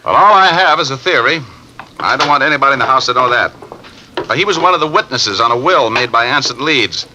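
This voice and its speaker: An American actor playing a wry, confident private detective in an old-time radio drama, brisk and commanding. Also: male voice, mid-range voice, lively intonation, background noise, lightly acted. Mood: musing, shrewd.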